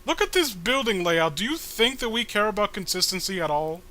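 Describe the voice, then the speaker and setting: A man's voice: high voice, lively intonation, brisk, bright, forceful tone. A man voicing a brusque, flippant clerk from hell in a comic read-aloud. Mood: brusque, smug.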